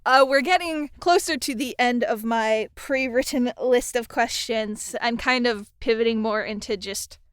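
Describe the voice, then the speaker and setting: A woman's voice: fairly high pitch, unhurried, few pauses, clean tone, no room sound. A woman chatting casually as an interviewer and fellow producer.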